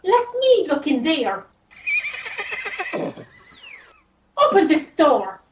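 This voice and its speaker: A woman acting an indignant, scolding, sharp-tongued Irish racehorse owner in an amateur radio play. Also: high-pitched, wildly swooping pitch, measured pace, dull audio, full character voice. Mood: suspicious, insistent. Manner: scolding, demanding. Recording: roomy, distant mic with hiss.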